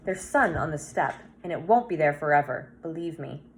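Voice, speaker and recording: A woman voicing a kindly, sorrowful old woman in a children's storybook, soft-spoken and gentle, on a noisy recording. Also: low voice, animated, moderate pace. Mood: tender, sad. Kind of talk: children's novel read-aloud.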